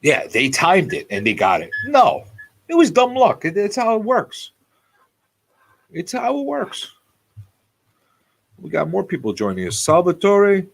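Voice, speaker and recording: A man's voice: high-pitched, huge pitch swings, a little slow, vocal fry, long pauses. Guy in his 40s doing a live radio show, super up and down voice, says stuff twice for emphasis, casual rambling. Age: forties. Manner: repeats phrases for emphasis.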